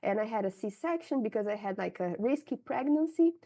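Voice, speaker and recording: Brazilian woman scientist, portuguese accent, kinda high soft mellow voice, frank, keeps saying right?. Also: lively intonation, brisk. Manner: frank, tags sentences with "right?". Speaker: scientist interviewee. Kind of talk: candid conversational interview answers.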